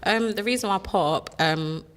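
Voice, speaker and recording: A woman's voice: mid-range voice, normal speed. A thirty-seven-year-old woman who presents radio, giggly and playful as a dating show contestant.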